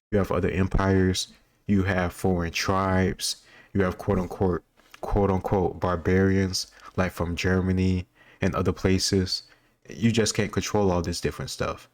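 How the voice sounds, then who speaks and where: A man giving casual, off-the-cuff commentary as he answers quiz questions, wandering into explanatory asides. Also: low voice.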